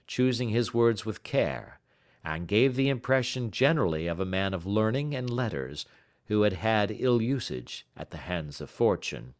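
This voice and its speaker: A man's voice: fairly low pitch, expressive, moderate pace. A man reading a literary story aloud as scripted audiobook narration. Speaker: audiobook reader.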